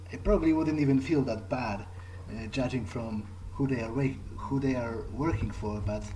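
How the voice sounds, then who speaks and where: A man's voice: mid-range voice, mellow, slight background noise, natural voice, poor audio. A man playing a cautious, wry vampire fledgling in an improvised video game playthrough, thinking aloud hesitantly. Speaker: gamer playing in character. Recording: game music under the voice.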